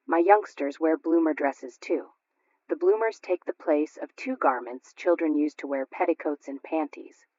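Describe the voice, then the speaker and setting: A woman's voice: low-pitched, no room sound. Lady doing a bossy sure-of-herself mom on old radio, emphatic, lo-fi muffled sound. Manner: emphatic, self-assured. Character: forceful, opinionated mother at sewing club. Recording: dull lo-fi radio recording.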